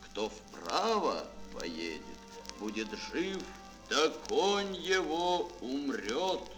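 A man's voice: on the high side, sing-song, extremely slow, a bit bright. A man narrating a children's fairy tale in a theatrical, declamatory storytelling style. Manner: dramatic, declamatory. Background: prominent music.

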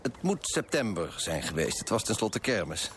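A pompous, lively merchant. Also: male voice, on the low side, normal speed, a little hiss or hum. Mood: smug, reflective. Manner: pompous, disapproving. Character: smug, moralizing, self-righteous male Amsterdam coffee broker, narrating. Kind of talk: radio play acting with narration.

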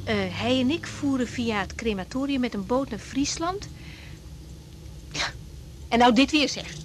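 Lively high-voiced young woman doctor. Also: a little slow, slight background noise. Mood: animated, confiding. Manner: hesitant, repeating words, informal. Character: young woman doctor, frank, caring but easily thrown. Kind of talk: naturalistic Dutch radio drama acting.

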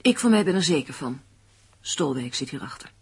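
An actress in a radio play playing a determined, unsentimental amateur sleuth, matter-of-fact and insistent. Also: female voice, deep voice, very animated, moderate pace, darkish tone, husky, heavily acted. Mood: insistent, suspicious.

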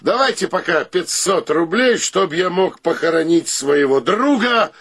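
Penniless womanizing rogue in a radio drama, brash and full of bluster. The voice is husky and a little high for a man, leaping expressively as he exclaims. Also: lively intonation, unhurried, a bit bright, heavily acted. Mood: mock mournful. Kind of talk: Soviet radio play acting.

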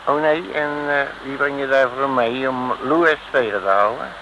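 An actor playing a cocky, wisecracking streetwise detective, in an old radio serial style. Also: male voice, medium pitch, normal speed, close to an everyday voice. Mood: cocky, defiant. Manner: breezy, slangy, wisecracking bravado. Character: cocky, streetwise male detective from Rotterdam, joking braggart. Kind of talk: 1950s-60s Dutch radio serial acting. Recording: noisy old radio recording.

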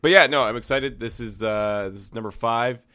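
A young man hosting a casual movie podcast, a little hoarse, talking with lots of fillers and restarts. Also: medium pitch, pushed and bright, no room sound. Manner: halting, many fillers and restarts. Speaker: podcast host.

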